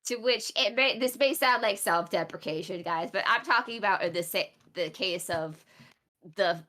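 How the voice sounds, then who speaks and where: A woman's voice: medium pitch, moderate pace, bright, forceful tone. A young adult woman co-hosting a casual stream chat, blunt and teasing, swearing freely.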